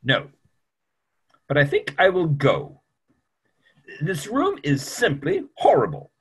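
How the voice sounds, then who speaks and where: A man acts a fussy, proper gentleman in a period stage play. His voice is sharp and raspy, a little high, leaping theatrically through formal lines. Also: huge pitch swings, slow pace, heavily acted. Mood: affronted. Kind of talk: online play reading.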